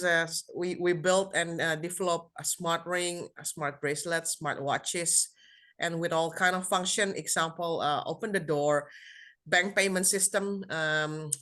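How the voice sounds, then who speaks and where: A woman introduces herself on a video call. She talks in a fairly low, bright voice, stopping often to search for the right word. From Indonesia. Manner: halting, searching for words, lots of hesitation. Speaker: guest speaker. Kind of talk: self-introduction in an online session.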